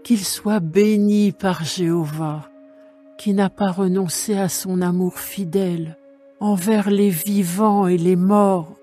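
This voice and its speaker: Woman playing a slow, mellow older mother-in-law. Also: medium pitch, steady tone, faint music behind, close to an everyday voice. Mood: grateful. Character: Naomi, older caring Israelite mother-in-law, gently guiding. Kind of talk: dramatised scripture reading in French.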